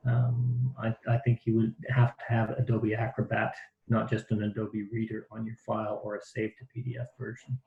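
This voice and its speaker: A man's voice: on the low side, monotone, moderate pace, gravelly, slight background noise. A man hosting an online training session, explaining things in a rambling, self-deprecating way over a video call. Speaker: host of a caller training session. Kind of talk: casual online meeting chat. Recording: echoey room, dull sound.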